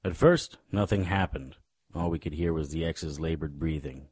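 A man playing a cocky, world-weary paranormal investigator, narrating a noir radio drama in a wry, deadpan way. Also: extremely low pitch, normal speed, slightly rough, no room sound. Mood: grim, measured.

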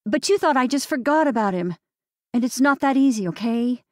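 A woman's voice: a little high, animated, a little fast, no room sound, clean studio sound, voice pushed up, natural voice. A woman narrator voicing a blunt, busy young mother scolding her sister. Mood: sharp.

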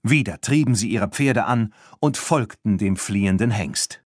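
A man narrating a children's audiobook in an animated storytelling manner. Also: on the low side, unhurried, husky, no echo, studio quality. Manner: animated storytelling, acting out dialogue.

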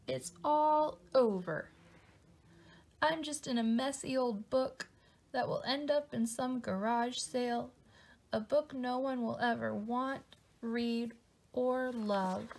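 Woman acting little storybook boy, excitable, theatrically indignant, high voice, drawn-out slow delivery. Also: creaky, heavily acted. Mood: despairing.

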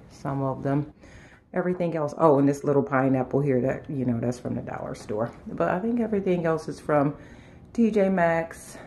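Low, mellow-voiced woman chatting casually. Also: slight background noise. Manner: halting, unscripted, trailing and circling back. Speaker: home and lifestyle vlogger. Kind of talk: casual chat to viewers during a house tour. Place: inside a house, walking between rooms and sun porch.

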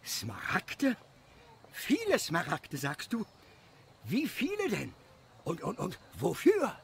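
Self-important blustery priest in a kids story, high voice, all over the place. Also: male voice, huge pitch swings, slow, gravelly, slight background noise, full character voice. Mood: incredulous. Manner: pompous, blustering, stammering when outraged.

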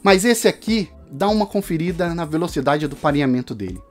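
A man's voice: on the high side, animated, a little fast, soft background music. A man, giving a casual product review to camera. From Brazil. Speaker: tech reviewer. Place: small home studio room.

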